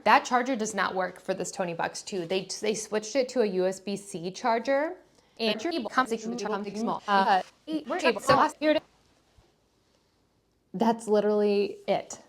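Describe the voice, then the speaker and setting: A woman giving a casual, conversational product review as a parent talking to viewers. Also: mid-range voice. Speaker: parent reviewing kids' toys.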